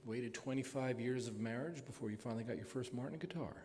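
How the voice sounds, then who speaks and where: A man hosting a live radio show on a theater stage, introducing and interviewing a guest in a halting way with drawn-out pauses. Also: mid-range voice, a little mellow, in a large hall. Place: theater stage before an audience.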